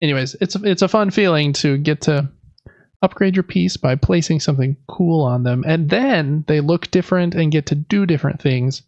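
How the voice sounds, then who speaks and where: A man's voice: on the high side, moderate pace, darkish tone, dry recording, muffled recording. A man co-hosting a casual podcast, describing board games in an informal, hesitant, self-deprecating way. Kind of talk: casual show-and-tell chat about board games.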